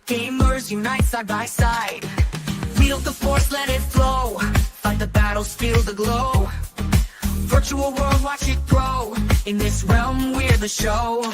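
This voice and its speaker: A woman's voice: fairly high pitch, steady tone, takes it slowly. A woman singing a pop theme song for a show, with a melodic delivery. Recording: singing over loud music.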